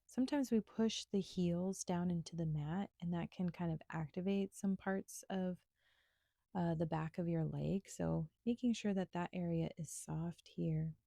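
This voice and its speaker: A woman instructor leading a guided meditation in a gentle, soothing, unhurried manner with pauses. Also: on the low side, gravelly, no room sound, studio quality.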